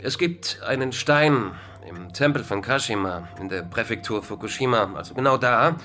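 An actor playing a resigned, pessimistic philosopher in a radio drama interview, lecturing in a ponderous intellectual manner. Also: male voice, mid-range voice, animated, moderate pace, pushed and bright, faint music behind. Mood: musing. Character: gloomy, resigned male philosopher and culture critic.

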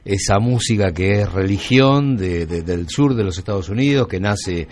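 A man with a Buenos Aires Argentine accent hosting a conversational radio interview, asking long, reflective questions with pauses and repeated words. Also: fairly low pitch, animated, a little mellow, slight background noise. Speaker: radio show host interviewing musicians.

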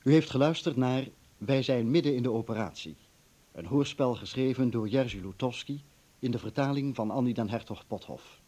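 A male radio announcer reads formal credits. His voice is mellow and husky, measured in its delivery. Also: medium pitch. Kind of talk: formal scripted cast credits.

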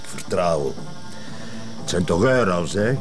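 An actor playing a cocky, cheeky small-time thug around thirty with a Flemish accent in a TV drama. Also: male voice, low voice, lively intonation, measured pace, music in the background. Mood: cocky, teasing. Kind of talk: naturalistic TV drama dialogue.

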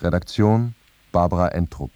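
A man's voice: low voice, moderate pace, warm tone, no echo, highs cut off. A man reading scripted narration for a radio feature. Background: prominent music.

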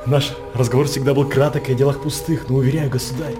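A man's voice: medium pitch, little pitch movement, fast, music in the background, natural voice. An actor playing a hopeful, lovestruck nobleman in a radio stage drama, speaking with earnest, poetic declamation. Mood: ardent, humble.

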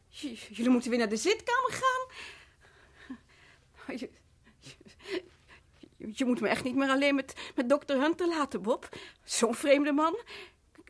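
A haunted, delusional wife in a radio play. Her voice is high and fragile. She stammers anxiously and slips into breathless whispers. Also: fairly bright tone, heavily acted. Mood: brittle, distracted.

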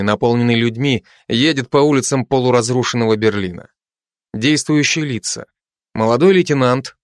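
A man reading the stage directions of a screenplay aloud in a plain, even manner. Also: mid-range voice, no echo, studio quality. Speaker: narrator.

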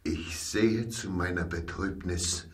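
An actor playing an unctuous older archbishop in a radio play, paternal and measured with quiet menace. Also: male voice, deep voice. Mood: unctuous calm. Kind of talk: German radio play acting. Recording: distant mic, faint noise.

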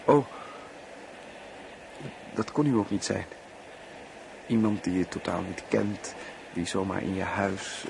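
Radio drama: reserved, courteous young guest, wary and measured, creaky voice, faintly noisy recording. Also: male voice, sits low, full character voice. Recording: radio play with faint background noise.

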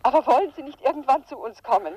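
A woman's voice: high voice, lively intonation, fast, a little mellow, no echo, low-quality recording. An actress playing a formal, grieving mother in a crime radio play. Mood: grave, sorrowful. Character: formal, grieving mother addressing her son-in-law.

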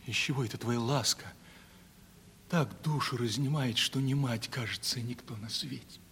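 Actor as a tender, anxious young lover. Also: male voice, mid-range voice, normal speed, fairly bright tone, a little hiss or hum, lightly acted. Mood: aching tenderness. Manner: folksy, earnest, emotional. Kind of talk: 1970s Soviet radio play acting. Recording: old radio play, faint music behind.

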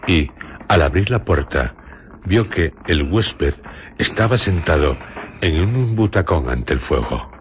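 Very deep-voiced male radio narrator. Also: expressive, slow pace, a little hiss or hum. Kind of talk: scripted radio drama narration.

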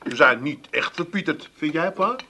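An easygoing Amsterdam son who dodges his wife's complaints. His voice is bright and somewhat high. The Amsterdam accent comes through. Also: expressive, normal speed, slight background noise, heavily acted. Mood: evasive. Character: easygoing Amsterdam son, henpecked pub regular. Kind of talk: radio play acting.

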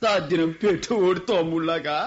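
Brief: an actor as a rough gossip, old muffled radio play sound. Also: male voice, fairly high pitch, fairly quick, natural voice. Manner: blunt rural banter. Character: rustic male villager gossiping. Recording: dull lo-fi radio recording.

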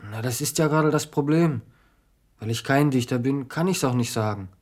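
A man's voice: medium pitch, moderate pace, natural voice. An actor playing a naive young postman in a German radio drama, earnest, respectful and full of questions. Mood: earnest, wondering. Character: naive, eager young male postman, deferential and curious.

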